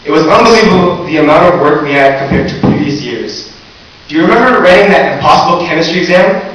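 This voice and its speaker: A teenage young man giving a graduation speech, earnest with light humor. His voice sits a little high for a man. It is recorded from far back in a very echoey hall, with a faint hiss. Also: animated, quick pace, a bit bright. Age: teens. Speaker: valedictorian. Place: large echoey hall or gym. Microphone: recorded from far off in the audience.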